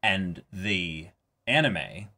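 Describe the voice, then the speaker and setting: A man with a very deep yet bright, forceful voice. He talks casually as a reaction-show co-host, working out his thoughts aloud and hedging along the way. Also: lively intonation, very slow. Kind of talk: casual discussion of an anime episode.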